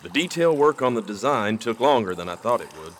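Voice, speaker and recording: An actor playing a casual, obliging forger among outlaws in a western radio drama. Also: male voice, on the low side, sing-song, a little fast, a little hiss or hum. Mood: easygoing. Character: agreeable, businesslike male outlaw forger in western.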